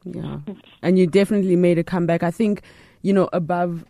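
A woman's voice: lowish voice, steady tone, brisk, creaky, warm tone, no echo, clean studio sound. A woman interviewing a guest in a casual, chatty way, full of fillers and restarts. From family from Uganda.

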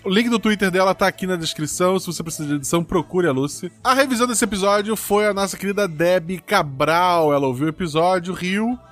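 A man hosting a tabletop game podcast as game master, narrating in a relaxed, joking way. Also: high voice, fast, few pauses, soft background music.

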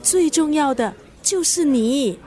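A woman's voice: sits high, lively intonation, slow pace, warm tone. A woman acting in a radio drama as a teasing, bossy family friend who plays matchmaker. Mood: scheming, brisk.

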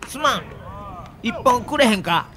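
An actor playing a wry, easygoing older baseball manager with a Kansai accent in an audio drama. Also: male voice, extremely high pitch, animated, measured pace, crowd noise. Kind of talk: acted audio documentary dialogue.

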